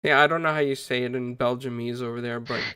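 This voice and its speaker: A man's voice: mid-range voice, steady tone, fast talker, sharp tone, clean tone, no room sound. A man hosting a casual gaming podcast, upbeat and greeting viewers by name. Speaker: podcast host.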